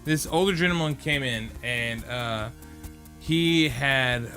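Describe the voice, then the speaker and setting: A man's voice: mid-range voice, slow, bright, forceful tone, soft background music. A man co-hosting a podcast, chatting casually in a rambling way that circles back mid-thought. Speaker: podcast co-host. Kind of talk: casual chat.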